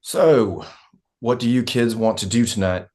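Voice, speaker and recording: A gruff middle-aged father played by a man at a table read, worn down and drily wry. His pitch sits in the middle for a man. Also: measured pace, darkish tone, close to an everyday voice. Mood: dry.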